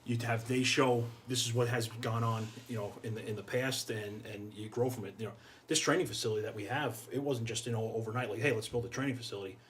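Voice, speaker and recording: A man's voice: mid-range voice, fairly even intonation, fast talker. A middle-aged American man speaking casually and earnestly as an interview guest, repeating phrases for emphasis. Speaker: guest, fire chief. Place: podcast studio, indoors. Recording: clear background noise, room ambience.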